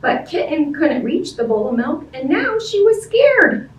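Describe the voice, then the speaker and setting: A woman's voice: high-pitched, sing-song, a little slow. A woman hosting story time for young children, reading a picture book expressively and chatting in simple, child-directed language with questions to her listeners. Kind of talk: animated picture-book read-aloud and chat to young children. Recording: roomy, distant-sounding, faint background noise.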